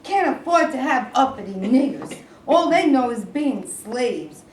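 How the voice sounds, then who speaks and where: A woman's voice: sits high, very animated, measured pace. A woman reading the part of a griping, aggressive teenage Confederate private in an amateur stage play. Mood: scornful. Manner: griping, aggressive, folksy slangy talk. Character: hostile bigoted teenage boy, Confederate private. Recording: roomy, distant, faint background noise.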